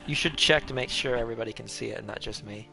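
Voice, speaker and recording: Gravelly man rambling while streaming a game. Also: medium pitch, fast talker, pushed and bright, faint music behind. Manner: rambling, self-mocking, swears offhandedly. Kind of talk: casual livestream chat.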